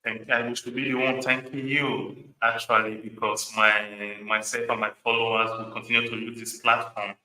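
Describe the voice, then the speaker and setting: A man's voice: mid-range voice, bright, forceful tone, echoey room, recorded from a distance, low-quality recording. A man hosting a podcast interview, formal and wordy, often repeating himself. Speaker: podcast host.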